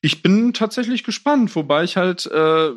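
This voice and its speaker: A man chatting casually and with clear opinions as co-host of a film news show. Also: high voice, animated, normal speed.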